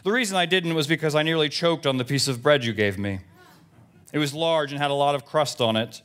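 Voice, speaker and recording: A man's voice: on the high side, a little fast, fairly bright tone, clean tone, close to an everyday voice. A man voicing a nosy, self-righteous Southern church lady in her seventies, indignant and blunt, in a comic reading of emails. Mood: chatty, prim.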